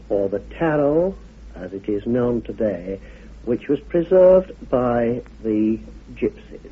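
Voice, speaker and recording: A middle-aged man, giving a measured, formal explanatory talk in deliberate phrases. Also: medium pitch, breathy edge. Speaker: occult teacher, order founder. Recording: muffled phone-like old radio recording.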